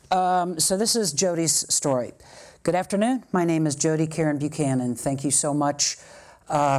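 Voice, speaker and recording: A woman's voice: very deep voice, a little mellow. A woman in her sixties giving a public speech and reading a friend's letter aloud, with chatty asides between careful reading.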